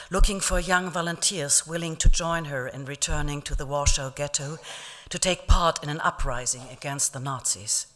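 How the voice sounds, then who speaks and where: A speaker reading a first-person survivor testimony aloud at a commemorative ceremony, in a measured, solemn way with small slips. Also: medium pitch, pushed and bright. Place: event hall. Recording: long hall reverb.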